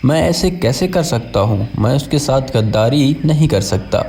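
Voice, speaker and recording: A man's voice: medium pitch, lively intonation, moderate pace, dark tone, close to an everyday voice. A man voicing a loyal but torn crocodile in a children's story, earnest and reasoning. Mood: protesting.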